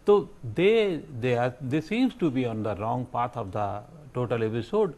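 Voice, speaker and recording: A man with an Indian accent giving his views as a panel guest, halting and searching for words. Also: medium pitch, expressive, darkish tone. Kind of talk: panel discussion commentary.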